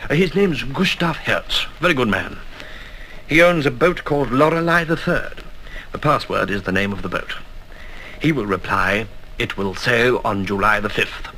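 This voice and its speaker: An actor playing a courteous, commanding colonel in a 1960s radio drama, speaking in brisk, formal briefings. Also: male voice, medium pitch, expressive, pushed and bright. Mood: brisk, businesslike. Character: genteel, commanding older intelligence colonel, male, dryly courteous. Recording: faintly noisy 1960s flexidisc radio recording.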